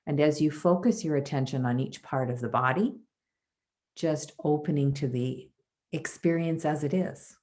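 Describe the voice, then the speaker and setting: A woman leading a guided body scan meditation as a teacher, soothing and measured, with pauses between phrases. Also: low voice, a little mellow.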